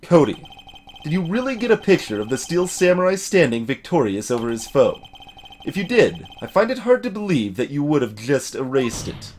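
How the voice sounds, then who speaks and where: A man's voice: a little high, sing-song, normal speed, background noise. A man playing an earnest young male defense lawyer in a video game, dramatic and insistent. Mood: pressing, accusing.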